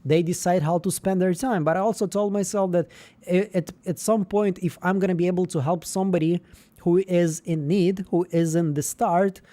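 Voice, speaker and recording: Man, livestream host, rambling, slightly high, mellow voice. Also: fairly even intonation, moderate pace. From Israel. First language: Russian. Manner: rambling, with frequent fillers and restarts. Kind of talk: casual livestream chat.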